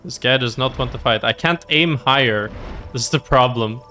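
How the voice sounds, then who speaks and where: Male game streamer, mid-pitched voice, casual think-aloud commentary, clear music behind. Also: moderate pace, sharp tone. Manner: thinking aloud, talking to self and viewers. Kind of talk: casual live gameplay commentary.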